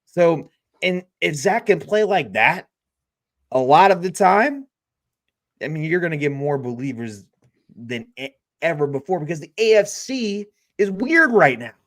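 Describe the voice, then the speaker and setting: A man co-hosting a live sports talk show, answering in a measured, agreeable way. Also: on the high side. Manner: measured, agreeable, wait-and-see. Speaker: co-host.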